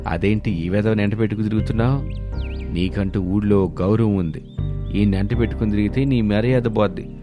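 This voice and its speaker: Man playing a gruff low-voiced village bully. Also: fairly even intonation, brisk, music in the background, lightly acted. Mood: scornful. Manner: scolding, threatening. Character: gruff, scornful village man, haughty and greedy.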